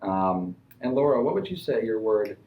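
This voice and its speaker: A man's voice: lowish voice, lively intonation, normal speed, dark tone, a little hiss or hum. A young man hosting a casual podcast, introducing guests and asking questions with many fillers and restarts. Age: young adult. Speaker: podcast host.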